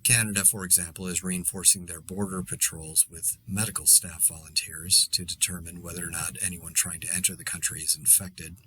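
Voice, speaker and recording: Man acting as exasperated male podcaster, lowish pitch, crisp forceful edge, sarcastic, rambling, conversational audio drama monologue. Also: level tone, fairly quick, few pauses, a little hiss or hum, rough recording. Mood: subdued, wry. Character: exasperated, sarcastic male podcaster living through a zombie outbreak. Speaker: podcast host.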